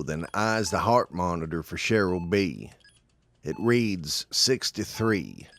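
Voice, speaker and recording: A man reading scripted scene narration for an audio drama. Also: on the low side.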